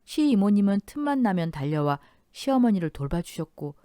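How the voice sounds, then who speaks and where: A woman narrating a scripted radio-style story in a calm, earnest tone. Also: mid-range voice, a little fast, no room sound, clean studio sound.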